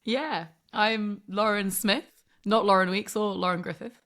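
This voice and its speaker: A woman's voice: medium pitch, steady tone, a little slow, fairly bright tone. A woman in her thirties from England, a sports broadcast journalist chatting casually as a podcast guest, self-deprecating and laughing often, with lots of "like" fillers.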